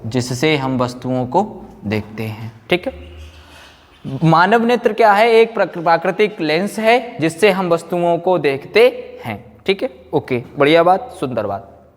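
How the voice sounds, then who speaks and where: A man's voice: fairly high pitch, expressive, measured pace. A man lecturing as a physics teacher, explaining with repetition, rhetorical questions and frequent check-ins. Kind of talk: classroom lecture. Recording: echoey hall, long reverb.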